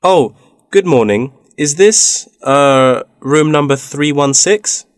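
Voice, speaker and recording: A man's voice: fairly high pitch, animated, takes it slowly, no room sound. Man playing a polite student, dry recording. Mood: polite, inquiring. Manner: polite, inquiring. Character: polite male university student seeking part-time work. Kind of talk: scripted language-test dialogue.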